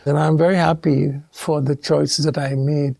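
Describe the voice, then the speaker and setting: Measured man with a mellow, dry voice. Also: on the high side, little pitch movement, creaky, dry recording. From Trinidad and Tobago. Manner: measured, thoughtful, with pauses and restarts. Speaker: guest theologian. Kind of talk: reflective interview conversation.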